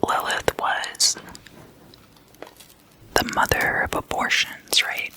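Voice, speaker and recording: A person speaking in a breathy, unvoiced whisper, hushed and conversational, like an ASMR host chatting at a sleepover. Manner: slow, hushed, casual and conversational. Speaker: host of an ASMR roleplay series.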